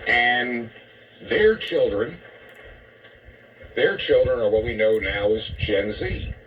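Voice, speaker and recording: A man's voice: medium pitch, slow, slightly rough, far from the mic. A man delivering an opinionated talk radio monologue over shortwave, emphatic and disapproving, posing rhetorical questions. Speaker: radio host and commentator. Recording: muffled, noisy shortwave radio broadcast.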